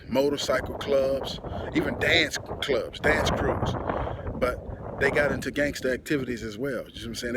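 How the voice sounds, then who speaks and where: Man, Texan accent, middle-aged, casual rambling storytelling, fillers and asides, outdoors, windy noisy phone recording. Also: medium pitch. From Texas. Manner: loose and conversational, many fillers and asides. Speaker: host, musician. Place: outdoors in wind and sun.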